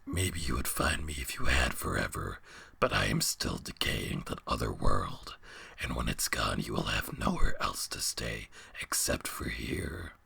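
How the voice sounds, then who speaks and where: A man voicing a menacing, taunting beast in a storybook reading, speaking slowly and cryptically in a gravelly, rasping half-whisper. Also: a bass voice, very animated, pushed and bright, dry recording, pitched below the performer's own voice, full character voice. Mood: taunting, ominous. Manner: slow, cryptic, drawn-out delivery.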